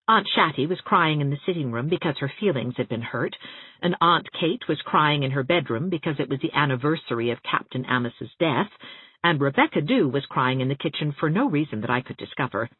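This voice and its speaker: Woman narrator as young female teacher, affectionate, whimsical audiobook letters, breathy low-toned voice, very lively intonation, muffled recording with room echo. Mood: chatty, contented. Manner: expressive, whimsical. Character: spirited, affectionate young schoolteacher writing letters. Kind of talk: audiobook reading of first-person letters. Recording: boxy room echo, muffled narrow-band sound.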